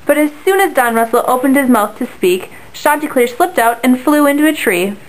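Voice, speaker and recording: A woman's voice: high-pitched, animated, fairly quick, rough recording. Young woman reading a fable aloud. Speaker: narrator. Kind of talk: read-aloud storybook narration for a class project.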